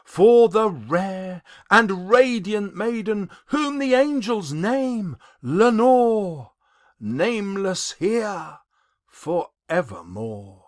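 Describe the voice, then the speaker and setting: A man giving a dramatic, measured poetry recitation, swinging between murmurs and loud emphasis. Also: high-pitched, lively intonation, no room sound, studio quality. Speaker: narrator, English teacher.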